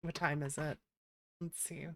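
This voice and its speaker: A woman's voice: low voice, little pitch movement, measured pace. A woman hosting a gaming stream, chatting casually and reading text aloud.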